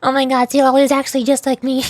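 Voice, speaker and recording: A woman's voice: high voice, completely flat delivery, fast, dry recording. A woman streamer chatting casually as she reads game dialogue aloud. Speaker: streamer voice acting a game's dialogue. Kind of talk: casual livestream commentary and dramatic read-aloud. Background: music.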